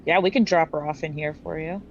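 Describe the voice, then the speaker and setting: A woman with a slightly low voice plays a tabletop game. She talks casually in character. The audio is a little muffled with faint noise behind it. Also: fairly even intonation, fairly quick, a little mellow. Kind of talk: casual in-character roleplay chat.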